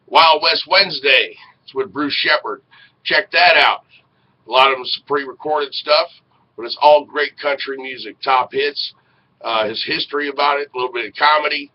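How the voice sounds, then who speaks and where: A middle-aged American man hosting a casual live web radio show, speaking in a folksy, earnest way. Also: medium pitch. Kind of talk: casual live show talk, promoting programs. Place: small indoor room with posters. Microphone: headset. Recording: dull, noisy webcam audio.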